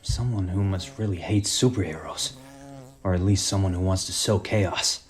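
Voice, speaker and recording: A man's voice: deep voice, fairly even intonation, normal speed, lightly acted. An actor playing a wounded, sarcastic superhero dad, a man, in a radio play. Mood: strained, wry.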